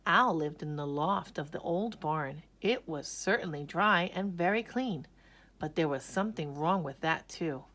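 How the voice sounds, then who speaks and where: A woman narrating a children's story aloud with expressive, dramatic emphasis. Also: deep voice. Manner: slow, animated, dramatic emphasis.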